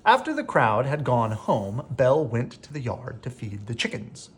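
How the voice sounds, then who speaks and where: A man reading a children's bedtime story aloud as an expressive narrator. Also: medium pitch, normal speed, husky. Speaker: storybook reader.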